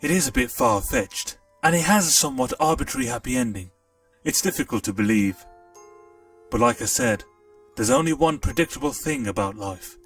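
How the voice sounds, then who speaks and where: Gravelly man narrating a story. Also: medium pitch, moderate pace. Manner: expressive, storytelling delivery. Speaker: audiobook narrator. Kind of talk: first-person storybook narration. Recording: distant mic, faint music.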